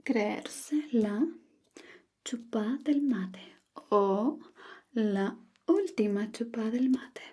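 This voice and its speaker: A woman whispering softly and teasingly, quizzing the listener on Chilean slang in an ASMR roleplay. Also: fairly high pitch, lively intonation, slightly rough. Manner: slow, soft, teasing like a teacher. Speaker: Spanish slang teacher.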